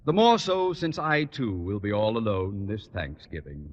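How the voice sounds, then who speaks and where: A man's voice: on the low side, sing-song. An American man acting a pompous, grudging middle-aged principal in an old radio sitcom, speaking formally. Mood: blustery, self-pitying. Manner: formal, pompous, halting when embarrassed. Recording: muffled, hissy old radio broadcast.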